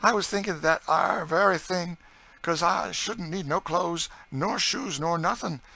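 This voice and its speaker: A male narrator plays an enslaved woman who cooks, motherly and eager. The voice is lifted high and pushed out loud and bright, in a folksy dialect full of emphatic exclamations. It belongs to an audiobook story. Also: measured pace, creaky, full character voice. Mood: delighted. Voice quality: folksy dialect speech with dropped sounds. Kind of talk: audiobook character dialogue.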